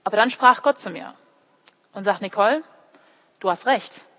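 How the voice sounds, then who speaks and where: A young woman gives a sermon in a space with long reverb. She is a native English speaker who grew up in Germany, with a mid-pitched voice. She explains things directly to her listeners and slips into short imagined quotes. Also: moderate pace, a bit bright. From Germany, born in California and raised in Heidelberg. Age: young adult. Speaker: theology student preaching at church. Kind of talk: sermon with personal illustrations. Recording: echoey hall with long reverb.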